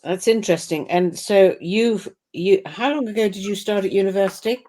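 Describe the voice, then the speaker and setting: A woman's voice: mid-range voice, normal speed, mellow. A woman hosting a webinar interview, curious and asking follow-up questions over a video call. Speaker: host.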